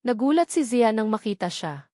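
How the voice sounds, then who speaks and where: A woman's voice: a little high, sing-song, normal speed, warm tone, no echo. Filipino woman narrating a dramatic story, dry sound. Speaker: audiobook narrator.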